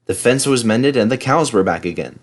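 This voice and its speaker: A man's voice: mid-range voice, lively intonation, fairly quick. A man reading a scripted children's story aloud as narrator. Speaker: storybook reader.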